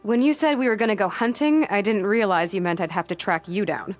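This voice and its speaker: Young woman huntress played by an actress, headstrong, wry, video game acting, mid-pitched voice, faint music underneath. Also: brisk, natural voice. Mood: questioning. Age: young adult.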